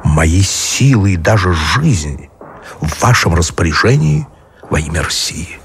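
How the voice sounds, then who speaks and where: Really deep voiced loyal officer, radio play, formal and solemn, slight hiss behind. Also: male voice, very animated, a little slow, full character voice. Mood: solemn, resolute. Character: loyal, sober, honest officer confiding grim truths. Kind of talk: Russian radio drama acting.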